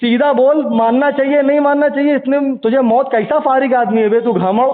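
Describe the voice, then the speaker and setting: High-pitched angry man on a phone line. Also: fairly even intonation, normal speed. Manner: aggressive, insulting, repeats the same question over and over. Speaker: caller in a religious debate. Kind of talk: heated phone argument. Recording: narrow tinny phone line.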